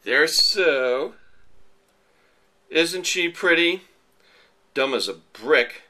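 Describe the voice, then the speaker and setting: A man's voice: fairly high pitch, expressive, very slow, sharp tone. A middle-aged American man hosting an online commentary show, delivering an indignant, blunt, off-the-cuff rant to viewers.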